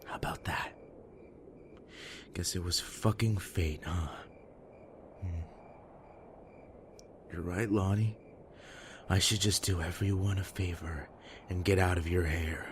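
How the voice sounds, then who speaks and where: A man acting a despairing, guilt-ridden young brother in an emotional audio drama, with halting, heavy delivery and music behind him. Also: deep voice, a little hiss or hum. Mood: defeated, self-loathing. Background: background music.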